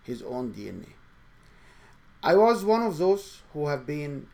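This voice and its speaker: A man's voice: on the high side, sing-song, slow pace, gravelly, dark tone. A man hosting his own show, giving an earnest coaching talk in English with a noticeable accent and some non-native grammar. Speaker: show host and speaking coach. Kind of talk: motivational monologue.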